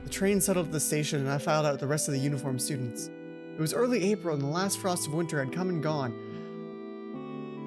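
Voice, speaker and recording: Male gaming host, slightly high voice, casual let's play narration with offhand asides, music clearly behind him. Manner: stumbles over read text, interjects casual asides. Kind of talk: let's play commentary mixed with reading visual novel text aloud.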